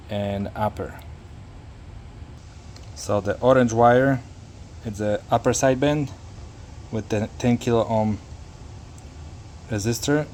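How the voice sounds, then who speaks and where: A man narrating a casual hands-on repair tutorial, speaking haltingly and repeating words as he works. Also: fairly low pitch, a little mellow, slight background noise. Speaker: hobbyist demonstrating a radio repair.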